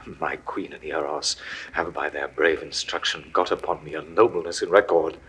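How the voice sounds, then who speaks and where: An actor playing a despairing middle-aged Roman general in a 1960s verse drama, speaking gravely and slowly, often in hushed whispers, rising to anguished cries. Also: male voice, extremely low pitch, fairly even intonation, slight background noise, poor audio. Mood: resigned. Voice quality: husky, lapsing into hushed whispers. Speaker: stage actor.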